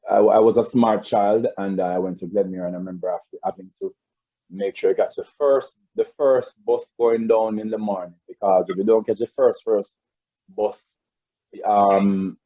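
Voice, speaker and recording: A man's voice: fairly low pitch, steady tone, moderate pace, no echo. A man with a Jamaican accent, a guest in a casual interview, telling stories about growing up, rambling with frequent restarts over a video call. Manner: rambling, many restarts and repeated words. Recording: tinny phone-like call audio.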